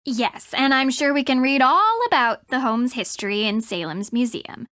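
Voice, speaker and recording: A woman's voice: high voice, normal speed, bright, forceful tone, no echo, studio quality. An actress playing a haughty, sarcastic young woman, a detective's pushy rival sidekick, with an American accent in a video game. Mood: challenging. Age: young adult.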